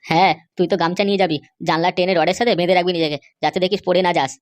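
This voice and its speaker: A woman's voice: lowish voice, steady tone, rapid-fire, dry recording, lightly acted. A woman voicing a blunt, sensible older-brother type of man, firm and dismissive, in a comedy cartoon. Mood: matter-of-fact.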